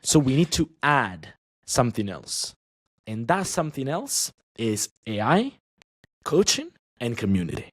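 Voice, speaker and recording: A man guesting on a podcast as an online language teacher, chatting casually and talking in quick bursts with frequent restarts. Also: mid-range voice, wildly swooping pitch, dry recording, clean studio sound.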